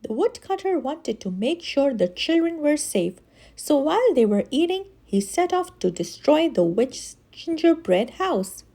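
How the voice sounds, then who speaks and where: Animated, high-voiced woman reading to children. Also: gravelly. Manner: animated, dramatic emphasis. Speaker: children's story reader and channel host. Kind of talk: expressive read-aloud storytelling for kids.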